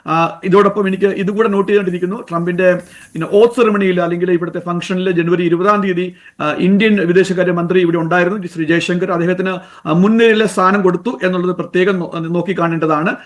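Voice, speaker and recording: A man with a Malayali accent giving unscripted live commentary as a news correspondent, haltingly, with frequent English fillers. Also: sits high, steady tone.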